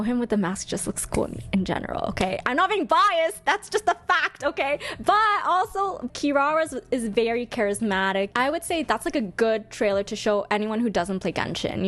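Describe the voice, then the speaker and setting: A woman giving casual live-stream reaction commentary in an expressive, gushing, slangy fan style. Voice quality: high-pitched voice. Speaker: streamer hosting a ranking of game character trailers.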